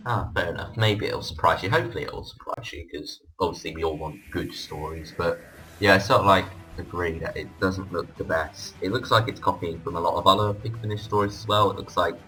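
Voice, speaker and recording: A man's voice: sits low, brisk, sharp tone, soft background music, rough recording. A man hosting a casual fan discussion, with hesitant, hedging phrasing. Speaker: podcast host. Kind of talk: casual fan discussion of audio drama releases.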